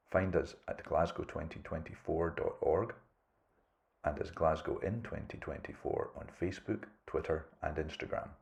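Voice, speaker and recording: Very deep gravelly male announcer. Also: darkish tone. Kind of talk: scripted outro announcement.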